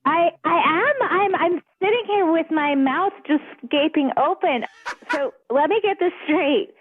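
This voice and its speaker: Woman phoning a radio show; high voice, halting casual speech, narrow phone sound. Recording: thin, tinny telephone line.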